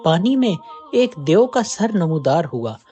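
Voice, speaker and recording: A storyteller reading a scripted moral folk tale in an expressive way. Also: medium pitch, measured pace, faint music behind.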